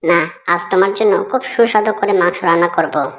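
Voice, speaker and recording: A woman voicing an affectionate, coaxing mother fox in an animated cartoon, with music behind. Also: fairly low pitch, normal speed, lightly acted. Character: doting mother fox, caring wife.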